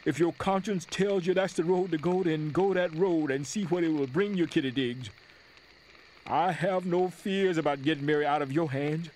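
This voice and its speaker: Male, acting a proud soldier father in a historical stage reading; emphatic, righteous oratory, fairly high voice, some background noise. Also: moderate pace, darkish tone, lightly acted, poor audio. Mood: defiant, threatening. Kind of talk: dramatic reading of a historical letter.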